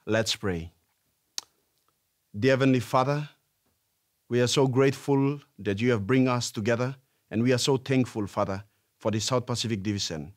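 A young man from Papua New Guinea, a Bible worker, leading a slow, reverent prayer in a dark studio. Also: mid-range voice.

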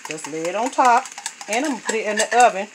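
A woman's voice: mid-range voice, expressive, quick pace. A woman, chatting her way through a home cooking video in her kitchen, often calling out to her viewers. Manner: chatty, homey, keeps saying y'all. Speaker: home cook vlogger. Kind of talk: casual cooking narration. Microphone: phone camera held over the pot. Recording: noisy kitchen phone recording. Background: clinking pots and utensils.

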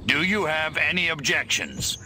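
An actor voicing a snide, short-tempered little alien invader in a video game, gravelly, sarcastic and sneering. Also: male voice, a little high, sing-song, moderate pace, noisy background. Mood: grandiose. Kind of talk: video game character acting.

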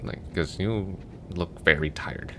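A man's voice: slow pace, noisy background. A young man playing a chatty, goofy, affectionate long-distance boyfriend in a roleplay audio, talking casually in a rambling, hesitant way. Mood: tender, affectionate. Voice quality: very deep, gravelly.

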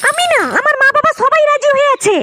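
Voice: very high-pitched, fast, very noisy. A performer voicing a pert, defensive young village woman in a comedy cartoon, with a processed cartoon voice. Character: young village woman, saucy reluctant girlfriend. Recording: loud music behind voice.

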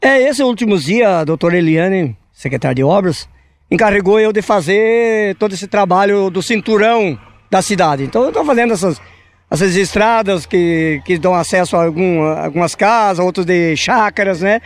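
A man's voice: high-pitched, moderate pace. An older man with a Brazilian Portuguese accent, a public works official, answering questions outdoors on a dirt road with hesitant restarts. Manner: plain, repetitive with hesitant restarts. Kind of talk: interview answers. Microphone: reporter's handheld microphone.

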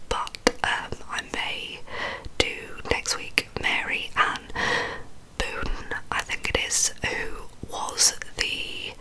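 Young english woman whispering asmr true crime style, super close mic, unhurried and a bit hesitant. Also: low-quality recording. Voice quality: breathy, unvoiced whisper. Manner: soft, unhurried, slightly hesitant. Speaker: ASMR video host. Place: small carpeted bedroom. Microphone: large microphone very close in front of the mouth.

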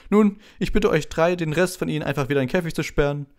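A man's voice: a little high, quick pace, pitched above the performer's own voice, natural voice. A man voicing a vain male wizard teacher with a breezy, pompous manner in a storybook reading.

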